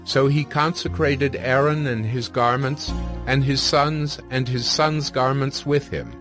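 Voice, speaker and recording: A man narrating scripture from a script in a measured, solemn manner. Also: mid-range voice, steady tone. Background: music.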